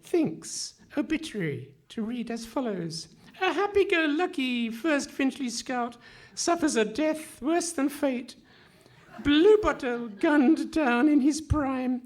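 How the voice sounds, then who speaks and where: A woman plays a naive little boy scout in a radio comedy, high-pitched and slow. The delivery is melodramatic and self-narrating, as if every stage direction must be announced. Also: animated, a little mellow. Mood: melodramatic, anguished. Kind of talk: parody of 1950s radio comedy acting. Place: live on stage in a hall.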